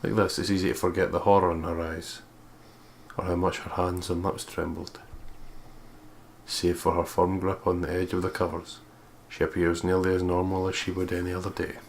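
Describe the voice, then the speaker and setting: A man's voice: low-pitched, completely flat delivery, normal speed, gravelly, a little hiss or hum. A man reading visual novel narration aloud as a let's play host.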